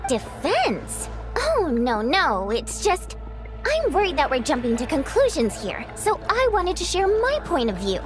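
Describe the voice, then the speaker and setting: High, lively anime game girl. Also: unhurried, music in the background, heavily acted. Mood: earnest, defensive. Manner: chatty, expressive. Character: lively young woman, secret member of underground group. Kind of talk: expressive anime game voice acting.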